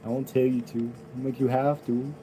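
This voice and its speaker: Man rambling flatly over noise. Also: medium pitch, normal speed, rough recording. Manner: rambling, loose, offhand. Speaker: rapper. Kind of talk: casual rambling chat to viewers.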